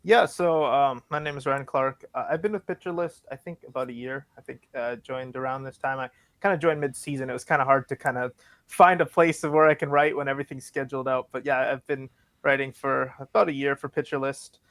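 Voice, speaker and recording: A man's voice: medium pitch, steady tone, fairly quick, fairly bright tone, no room sound. A young man from Canada, guesting on a podcast, answering in an eager, rambling way with light laughs. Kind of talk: casual interview answers.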